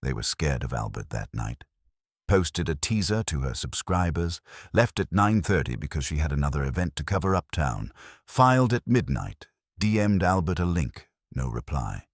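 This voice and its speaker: A man reading a first-person detective mystery as an audiobook narrator, with wry, measured storytelling. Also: rumbling low, sing-song, dry recording, studio quality.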